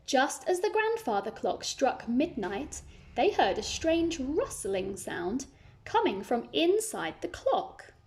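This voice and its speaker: A woman's voice: fairly high pitch, a little mellow. A woman reading a children's story aloud, narrating expressively and adding simple asides for young listeners.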